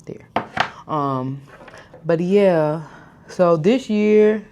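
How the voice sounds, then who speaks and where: Woman, thirties, casual vlog chat, slight stutter, slows down to get words out, indoor desk setup. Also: lowish voice, noisy background. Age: 30s. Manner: stutters and mispronounces words, talks slowly on purpose. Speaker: vlogger. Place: hotel room, indoors.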